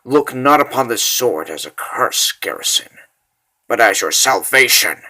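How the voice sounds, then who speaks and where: A man voicing a grave, solemn mentor in a comic dub, speaking slowly and low. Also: animated, bright, forceful tone. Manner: slow, solemn, low-voiced. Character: grave, solemn mentor urging a warrior onward.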